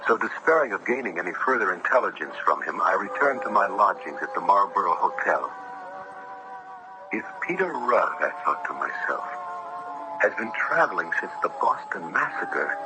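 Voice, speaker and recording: Earnest, low-voiced gentleman judge. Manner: formal, literary, old-fashioned diction. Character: earnest, curious gentleman judge narrating a supernatural mystery. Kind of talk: 1970s radio drama acting. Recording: noisy old radio broadcast.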